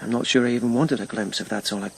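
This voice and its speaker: An actor playing a thoughtful, reserved police lieutenant in ancient Japan, speaking in reflective, halting phrases in a radio drama. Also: male voice, medium pitch, fairly even intonation, gravelly, slightly rough. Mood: guarded, hurt. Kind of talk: naturalistic radio drama acting.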